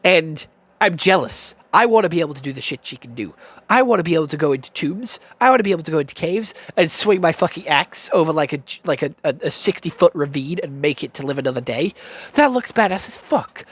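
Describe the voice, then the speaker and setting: A young British man giving a casual, excitable game review, swearing constantly and stumbling over restarts and fillers. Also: fairly high pitch, a little fast, husky. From United Kingdom.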